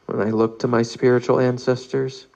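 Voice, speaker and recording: A man leading a guided meditation, reading aloud calmly in measured phrases with long pauses. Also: medium pitch, gravelly, muffled recording. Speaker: meditation leader.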